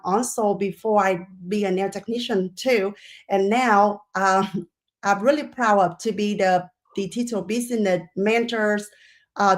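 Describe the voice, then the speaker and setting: Woman with a Vietnamese accent and broken English. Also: mid-range voice. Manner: broken English with many fillers. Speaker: guest.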